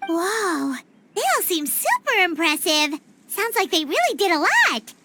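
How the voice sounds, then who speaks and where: A woman voicing a tiny childlike pixie in a video game, squeaky, excitable and blunt. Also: a little slow, background noise, heavily acted. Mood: impressed. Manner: childlike, exclaiming, refers to self in third person. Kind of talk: animated game character acting.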